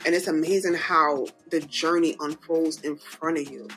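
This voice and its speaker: A woman from Jamaica with a Jamaican accent, hosting a podcast in lively, emphatic casual chat and laughing often. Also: low-pitched, moderate pace, faint music behind. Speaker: podcast host. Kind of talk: casual faith-filled chat.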